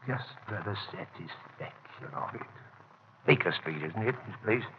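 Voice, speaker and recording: Criminal mastermind, played by a man: low-pitched, deliberate, coldly menacing, muffled old radio sound. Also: animated, lightly acted. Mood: calculating menace. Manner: deliberate, ominous, repeats phrases for menace. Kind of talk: 1930s radio drama acting. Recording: muffled, noisy 1930s radio recording.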